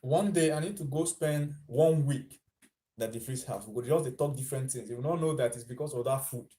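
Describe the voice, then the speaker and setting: A man's voice: mid-range voice, brisk, dark tone. A Nigerian man giving casual vlog commentary, chatty and dropping into Pidgin as he talks. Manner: chatty, mixes in Pidgin, laughs at his own lines. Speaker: vlogger.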